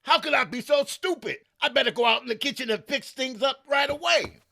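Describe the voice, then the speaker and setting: A middle-aged man playing a sly, loyal male valet in a recreated 1950s radio comedy, with a drawling, gravelly comic delivery. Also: very high-pitched, a little fast, sharp tone, slight background noise, heavily acted.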